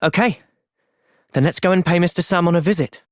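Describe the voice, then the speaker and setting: A man playing a gentle, thoughtful young soldier hero in a video game, earnest and reflective in manner. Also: sits high, fairly quick, dry recording, clean studio sound, lightly acted. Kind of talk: video game cutscene voice acting.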